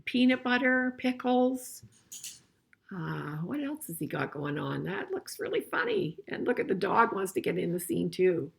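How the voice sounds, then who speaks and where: An older woman reads a children's storybook with a very lively, swooping intonation and an average-pitched voice. She is expressive and conversational, turning to her young listeners with questions and little asides as she goes. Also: unhurried, vocal fry, husky. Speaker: grandmother reading a picture book to children.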